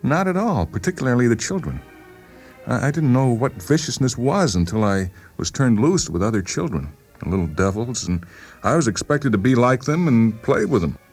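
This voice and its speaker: A man voicing a defensive, suspicious psychiatric patient with an insistent, halting delivery in a radio story reading. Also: medium pitch, sing-song, darkish tone, slightly rough, voice pushed up. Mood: agitated, insistent. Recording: voice over background music.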